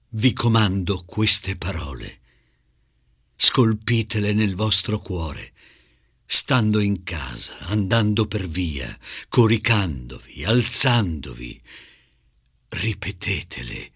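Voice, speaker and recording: A man giving a solemn poetry recitation in a grave, measured, deliberate manner. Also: low-pitched, fairly bright tone, breathy edge, no echo, clean studio sound.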